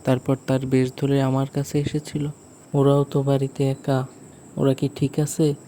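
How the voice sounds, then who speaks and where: A man playing a village husband who recounts an eerie night in a polite, uneasy, measured way for a cartoon story. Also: mid-range voice, monotone, warm tone, slight background noise, lightly acted. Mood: worried, alarmed. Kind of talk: first-person cartoon story narration.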